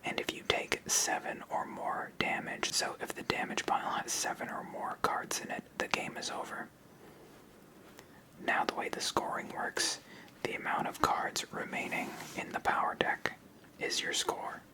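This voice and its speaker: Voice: normal speed, sharp tone, rough recording. ASMR host for solo card game play-through, fully whispered delivery, explaining each move.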